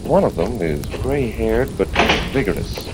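A man narrating a 1940s radio horror show in a dramatic, ominous and deliberate manner. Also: on the low side, sing-song, heavy background noise. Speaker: host and narrator. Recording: vintage 1940s radio, music behind.

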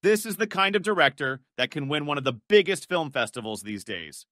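A man delivers scripted commentary in a high, sharp voice. His tone is scornful and sarcastic. The voice-over is close and very dry. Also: sing-song, a little fast, no echo. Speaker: video commentator.

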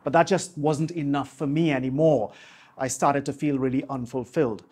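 A man, presenting a scripted piece to camera in a polished, measured broadcaster's delivery on a lapel mic in a home office. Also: mid-range voice, steady tone, darkish tone. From Trinidad and Tobago. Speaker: YouTube host, marketing coach. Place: small home office, indoors.

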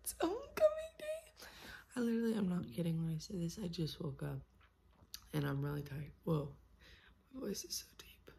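Teen girl vlogger, hoarse and gravelly, mellow low voice, phone held at arm's length. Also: sing-song, normal speed, long pauses, slight background noise. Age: teens. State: hoarse from yelling. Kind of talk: casual vlog chat. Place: bedroom at home. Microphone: phone camera at arm's length.

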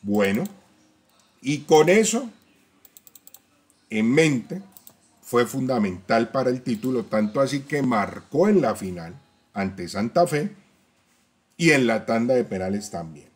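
A man hosting a football fan channel, talking straight to viewers about player stats and often checking in with a quick "¿ya?". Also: mid-range voice, very animated. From Colombia. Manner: talks to viewers directly, tag questions, stats-heavy. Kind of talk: casual fan-channel commentary.

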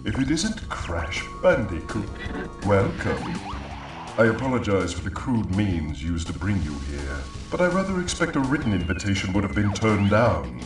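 An actor playing a pompous, scheming mad scientist in a video game, theatrical and mock-courteous. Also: male voice, on the low side, very animated, moderate pace. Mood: grandly scheming. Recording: echoey and distant, music behind.

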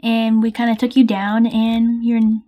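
A woman with a high voice runs a game roleplay in easy, chatty speech. She talks fast and fills the gaps with ums and restarts. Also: monotone, muffled recording. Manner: quick, chatty, uses fillers. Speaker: roleplay player voicing several characters.

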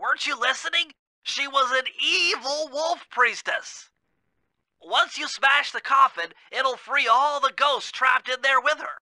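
An actor playing a brainy, earnest turtle gadget expert in a video game, nasal and know-it-all. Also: male voice, extremely high pitch, unhurried, sharp tone, dry recording, heavily acted. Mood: confident instructing.

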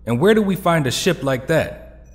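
A man's voice: fairly high pitch, expressive, moderate pace, close to an everyday voice. A man playing an eager, casual young hero in an audio drama. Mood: questioning. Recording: long hall reverb.

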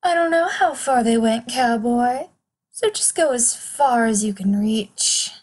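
A woman acts as a playful seductress pretending to be a cartoon detective. Her voice sits a bit high and she draws her words out very slowly. It is suggestive comedy audio drama. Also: expressive. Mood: flirty, playful. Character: flirtatious woman role-playing a bespectacled cartoon sleuth.

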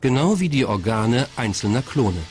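A man's voice: mid-range voice, big pitch swings, moderate pace, darkish tone, a little hiss or hum. A man reading scripted narration as the narrator of a documentary. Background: faint music.

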